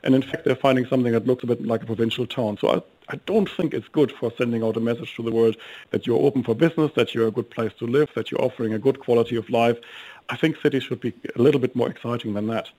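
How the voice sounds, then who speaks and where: A middle-aged man with a German accent speaks quickly as a guest in a radio interview. He argues fluently, with the odd um. His pitch sits in the middle. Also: warm tone, poor audio. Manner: fluent, brisk, with ums while arguing. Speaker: think tank guest. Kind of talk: interview on a talk radio show.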